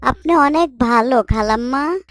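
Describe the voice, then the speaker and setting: Woman doing shy meek village maid girl for a cartoon, high voice, talks slow. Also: no echo, studio quality. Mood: humble. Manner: deferential, meek. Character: humble, timid young housemaid girl from the countryside. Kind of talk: Islamic moral cartoon voice acting.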